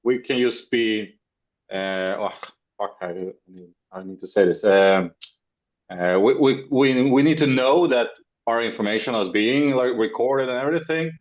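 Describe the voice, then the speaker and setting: A man's voice: mid-range voice, measured pace. A man from Europe in a casual online meeting, speaking hesitantly with many stumbles. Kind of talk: casual discussion. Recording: muffled call audio.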